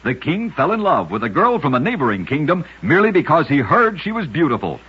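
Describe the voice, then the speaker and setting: Male radio narrator, very husky, slightly high pitch, dramatic storytelling, scripted short tales, muffled vintage recording. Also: big pitch swings, a little fast.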